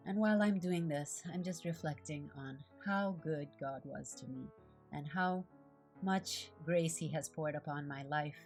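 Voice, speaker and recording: A middle-aged woman, a native Japanese speaker from Japan, giving a calm, gentle devotional voice-over as she makes an art journal. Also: low voice, a little slow. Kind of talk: reflective devotional voice-over while crafting. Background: faint guitar music.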